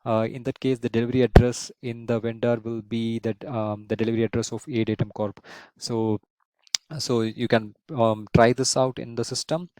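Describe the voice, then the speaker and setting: A man giving an unscripted software tutorial as an instructor, explaining step by step and often repeating and rephrasing himself. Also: mid-range voice, level tone, moderate pace, smooth, no echo.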